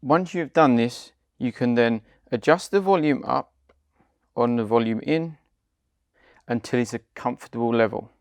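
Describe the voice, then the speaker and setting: A British man, around his forties, giving scripted step-by-step product instructions in a measured, deliberate way. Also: medium pitch, no echo, clean studio sound. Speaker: product demonstrator.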